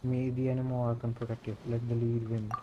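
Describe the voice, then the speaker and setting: A man's voice: medium pitch, little pitch movement, quick pace, dull audio, a little hiss or hum. A man who is a native Hindi speaker, reading game dialogue aloud as a streamer. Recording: game music clearly behind.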